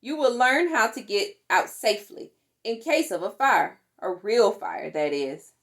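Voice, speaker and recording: A woman reading a children's safety book aloud in an animated, instructive manner. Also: medium pitch, a little slow. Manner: animated, instructive, acts out sound words. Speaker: storytime reader.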